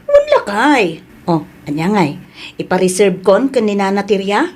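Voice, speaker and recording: Woman playing an anxious Ilocano wife, husky voice. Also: mid-range voice, lively intonation, normal speed, a little mellow, lightly acted. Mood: grateful, hopeful. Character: poor, anxious, grateful wife seeking a home. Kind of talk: Ilocano audio drama acting.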